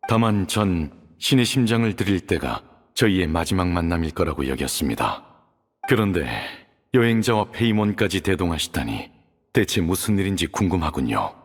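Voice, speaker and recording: A man voicing a calm, dignified male judge in a video game, speaking in a polite, grave, formal way. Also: deep voice, normal speed. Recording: reverberant game audio with music.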